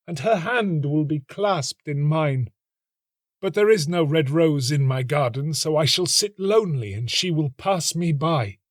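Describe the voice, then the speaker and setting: A man's voice: on the high side, no echo, studio quality, pitched above the performer's own voice. A man voicing a lovelorn young student in a storybook, plaintive and melodramatic, drawing out his romantic despair. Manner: plaintive, melodramatic, drawn-out sighing delivery. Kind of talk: storybook reading.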